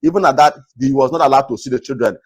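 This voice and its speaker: A man from Nigeria hosting a talk video, earnestly advising viewers in a naturally loud voice and repeating his points. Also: mid-range voice, very fast, slightly rough. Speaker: host. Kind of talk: casual commentary to camera.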